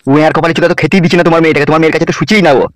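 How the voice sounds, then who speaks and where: A man's voice: on the high side, fairly even intonation, racing, close to an everyday voice. A man playing a coaxing, scheming village politician villain in a comedy cartoon. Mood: persuasive. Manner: coaxing, insistent.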